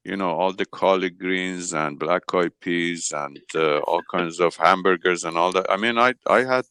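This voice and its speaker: A man's voice: low voice, normal speed, pushed and bright, clean tone, dry recording. A man from Iran in casual online group chat, talking hesitantly with many fillers. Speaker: chat participant.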